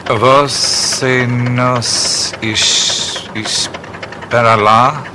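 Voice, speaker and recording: An actor playing a seasoned, wry jungle adventurer in an audio drama, musing and halting with dry humor. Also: male voice, medium pitch, steady tone, noisy background. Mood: businesslike.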